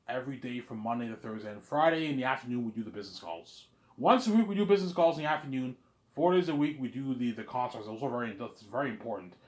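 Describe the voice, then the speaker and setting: Young man, mid pitch, loose off-the-cuff monologue, circling back over his own phrases. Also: darkish tone. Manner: repetitive, often restarting phrases. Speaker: speaker in a personal series about his planned film. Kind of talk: rambling casual monologue.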